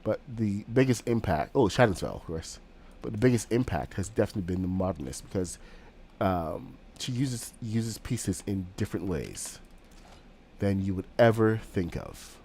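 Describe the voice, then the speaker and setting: Male streamer, slightly low voice, has a cold; loose, rambling play-by-play with fillers. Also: expressive, moderate pace, warm tone, breathy edge. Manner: rambling, with frequent fillers and restarts. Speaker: game streamer. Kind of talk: casual live-stream commentary while building.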